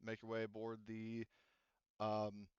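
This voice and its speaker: A man with a smooth, slightly low voice works as game master in a tabletop session. He improvises his narration in a casual, halting way. The recording is close and dry but a little dull. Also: monotone, no echo. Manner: halting, searching for words.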